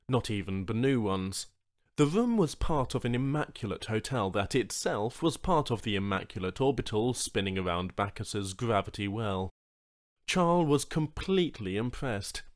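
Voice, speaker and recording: A man's voice: mid-range voice, animated, unhurried. A man narrating a scripted short story as an audiobook reader.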